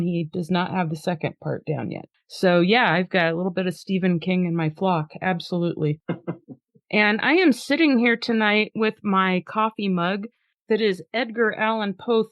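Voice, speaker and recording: A woman's voice: on the low side, no echo. Chatty woman podcast host, dry lo-fi sound. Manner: chatty, upbeat, laughs easily. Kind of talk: casual podcast chat and dramatic poem reading.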